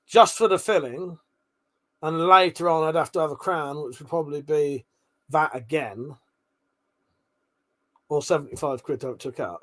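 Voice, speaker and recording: A man's voice: fairly high pitch, fairly bright tone. A British man hosting a livestream, chatting casually in an informal, rambling, sweary way as he reads and answers viewer comments. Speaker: livestream host.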